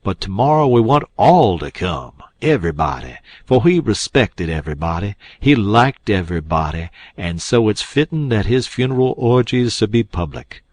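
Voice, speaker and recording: A long-winded swindler who savors every word of his own speeches, as a male reader puts him on. He sounds mock-solemn and self-satisfied, in a low voice recorded close and dry, as in an audiobook. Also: very animated, moderate pace, few pauses, darkish tone, no echo. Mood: unctuous. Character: pompous smooth-talking male con man posing as English.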